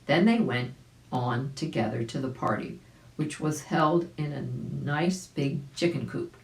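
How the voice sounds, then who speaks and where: An older woman around her seventies reading a children's story aloud, expressively and deliberately, indoors a few feet from the camera. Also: deep voice, darkish tone. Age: older, about 70s. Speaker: volunteer storybook reader. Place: small indoor room at home. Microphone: camera a few feet away. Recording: roomy home recording.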